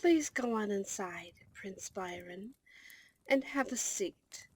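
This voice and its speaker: A woman's voice: mid-range voice, animated, slow pace, darkish tone, husky. A woman playing a formal, deferential and protective servant to a princess in a scripted fantasy ASMR roleplay. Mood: formal, loyal. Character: dutiful, protective female servant of a vampire princess.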